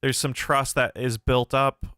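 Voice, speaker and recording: A man's voice: mid-range voice, little pitch movement, unhurried, sharp tone, smooth, no echo, clean studio sound. A young man hosting a philosophy livestream, answering chat questions in a casual, thoughtful way with many fillers and restarts, his voice a bit nasal. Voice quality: somewhat nasal.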